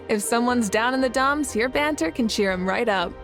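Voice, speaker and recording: A woman's voice: a little high, a little slow, over music. A woman voicing a confident, friendly young female warrior leader in a video game, self-assured and easygoing. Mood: teasing, warm. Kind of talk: video game character acting.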